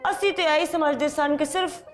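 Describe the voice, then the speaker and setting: An actress playing a sassy, naive courtesan dancer with a Punjabi accent in a television period drama, teasing and exclamatory. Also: female voice, high voice, fairly even intonation, moderate pace, soft background music, lightly acted. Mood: playful, astonished.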